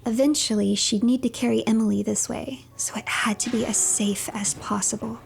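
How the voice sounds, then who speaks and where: A woman narrating a video game as a story in a scripted third-person style, storyteller-like, often dropping to a hush at the ends of phrases. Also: fairly high pitch, steady tone, normal speed, gravelly, noisy background. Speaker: streamer narrating game playthrough.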